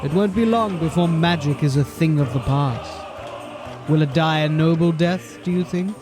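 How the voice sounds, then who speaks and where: Brief: actor as a pompous lecturing captain, slightly high voice, faint music behind. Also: male voice, measured pace, lightly acted. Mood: grandiose. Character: haughty, pompous captain, middle-aged man. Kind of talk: audio drama acting.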